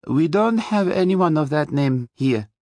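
A man voicing a polite, prim woman receptionist in an audiobook, speaking in a measured way. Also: fairly high pitch, expressive, darkish tone, no room sound, clean studio sound, voice pushed up. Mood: puzzled, polite. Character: polite, doll-faced female clinic receptionist, puzzled.

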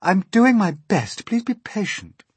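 A man's voice: high-pitched, sing-song, measured pace, a little mellow, breathy edge, no echo, voice pushed up, full character voice. A man voicing a meek, apologetic, pleading glowworm in a children's storybook reading.

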